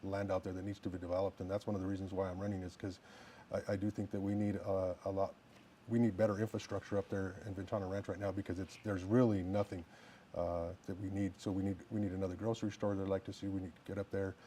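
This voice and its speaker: A man of about fifty from New Mexico, a firefighter running for office, answering interview questions politely and plainly, with halting restarts. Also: sits low, flat delivery, warm tone, slight background noise.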